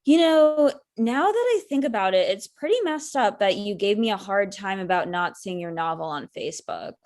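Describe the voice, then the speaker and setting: A woman acts out a sardonic ex-girlfriend in her thirties. She is wounded and pointed, with a dry delivery at medium pitch. It sounds like natural dialogue from a comedy script. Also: lively intonation, normal speed, a little mellow, lightly acted. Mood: resentful. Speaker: actor in a table read.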